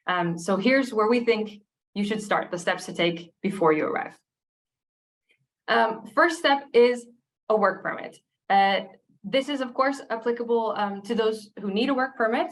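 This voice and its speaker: A woman's voice: mid-range voice, a little hiss or hum. A woman from the United States with an American accent, presenting a webinar in a chatty, friendly way with many filler sounds. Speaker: recruiter co-hosting a webinar.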